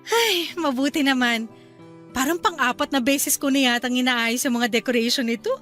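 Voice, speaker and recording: A woman playing a cheerful young wife and new mother in a radio drama, talking in a bright, chatty and eager way. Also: sits high, normal speed, soft background music.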